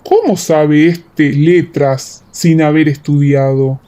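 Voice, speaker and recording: A woman's voice: deep voice, fairly even intonation, takes it slowly, dark tone, pitched below the performer's own voice. A woman voicing a skeptical, accusing man of an ancient crowd in a Bible radio play, with questioning, theatrical delivery.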